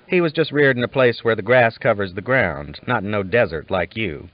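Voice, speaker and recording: A man's voice: on the low side, lively intonation, normal speed, natural voice. A man voicing a talkative, easygoing, teasing cowboy with folksy phrasing in an audiobook. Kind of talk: audiobook character dialogue. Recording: dull lo-fi audiobook recording.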